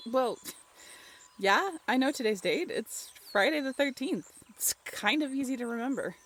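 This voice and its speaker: A woman plays a playful young woman talking intimately with a lover in an audio roleplay. The voice is high and swings freely in pitch, with an expressive, teasing, slightly halting delivery. Faint ambient noise sits behind it. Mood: puzzled. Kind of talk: intimate roleplay audio drama, natural conversational acting.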